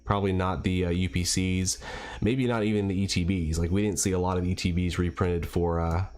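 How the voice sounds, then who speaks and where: A man with a slightly raspy voice from a cold, chatting casually and a bit hesitantly as he hosts a livestream. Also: low voice, little pitch movement, brisk, darkish tone. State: getting over a cold. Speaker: livestream host and card collector.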